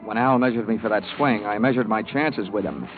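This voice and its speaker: An American actor playing a wry, clipped, wisecracking insurance investigator in 1940s radio detective style. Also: male voice, on the low side, brisk, lightly acted. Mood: wry narrating. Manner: wry, clipped, hard-boiled delivery. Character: wisecracking, cool male insurance investigator narrating his case. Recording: narrow, tinny old radio recording, music.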